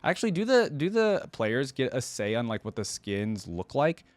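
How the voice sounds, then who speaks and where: A man's voice: medium pitch, very animated, normal speed, no echo. A man hosting a reaction video, commenting casually and thinking aloud in an informal way.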